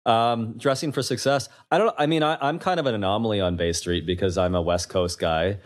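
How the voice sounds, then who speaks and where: A man's voice: lowish voice, fairly quick. A man in his forties from western Canada, a guest in a podcast interview, who tells stories with frequent laughs and stumbling restarts. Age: 40s. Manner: animated storyteller, laughs often, stumbling restarts. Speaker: guest, investment CEO. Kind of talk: casual interview chat.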